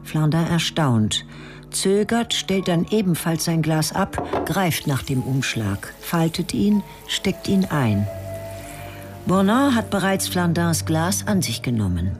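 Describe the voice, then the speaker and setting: A woman's voice: low-pitched, big pitch swings, takes it slowly, over music. A woman narrating a radio drama in clipped, fragmentary present-tense phrases.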